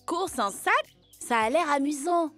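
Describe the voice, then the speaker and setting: A playful cartoon child with a very high, bright voice. The kid is expressive and competitive, with unhurried pacing. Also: female voice, soft background music. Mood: enthusiastic. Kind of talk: French-dubbed educational cartoon acting.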